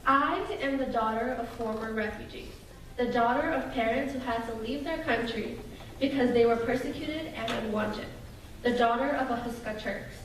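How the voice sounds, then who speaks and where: A young woman from Russia delivers a prepared speech to an audience in an earnest, measured way. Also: a little high. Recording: echoey hall from far off, noisy.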